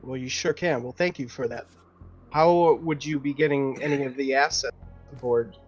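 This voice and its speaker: Male game player, medium pitch, hesitant improvised roleplay, dull lo-fi audio with faint music behind. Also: steady tone, breathy edge. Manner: hesitant, halting.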